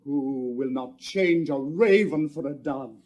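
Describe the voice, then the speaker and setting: A man's voice: a little high, slow pace, darkish tone. A man playing Lysander, an ardent young lover speaking earnest, impassioned verse. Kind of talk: stage drama acting.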